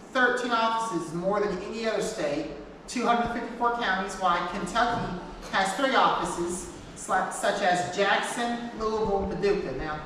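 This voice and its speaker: A woman giving an informal class talk, partly reading from notes, speaking haltingly and repeating her phrases. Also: medium pitch, fairly bright tone. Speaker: presenter teaching a class. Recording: echoey hall, distant mic, noisy background.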